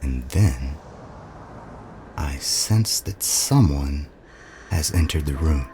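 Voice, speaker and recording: A man narrating a scripted first-person story in a dramatic, brooding, deliberate manner. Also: a bass voice, expressive, dark tone, slight background noise.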